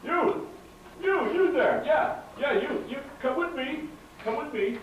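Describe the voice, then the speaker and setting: A man's voice: extremely high pitch, expressive, slow, highs cut off, full character voice. A man voicing a pompous, scheming royal villain in an amateur puppet show, crying out repetitively like a herald. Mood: bossy. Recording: very echoey hall, distant mic, faint noise.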